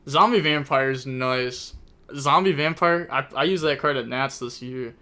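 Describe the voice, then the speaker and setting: Young male streamer, bright crisp voice, chatty casual commentary. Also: medium pitch, measured pace. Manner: chatty, rambling, thinks aloud with many fillers. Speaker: streamer commentating a card game tournament.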